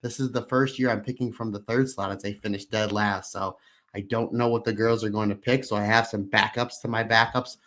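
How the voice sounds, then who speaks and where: Slightly hoarse man, upbeat and rambling. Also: lowish voice, level tone, brisk, a bit bright. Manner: rambling, upbeat storytelling with frequent restarts. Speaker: podcast co-host. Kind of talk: casual podcast chat.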